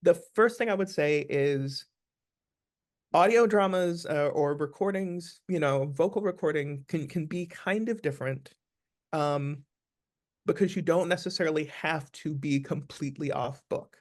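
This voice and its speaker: A man's voice: a little high, a little slow. A man speaking as an interview guest, giving advice conversationally in an earnest, emphatic way, repeating phrases for stress.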